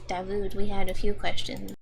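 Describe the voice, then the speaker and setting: A woman co-hosting a casual podcast, calm and steering the conversation. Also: mid-range voice, moderate pace, creaky, noisy background. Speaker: podcast co-host.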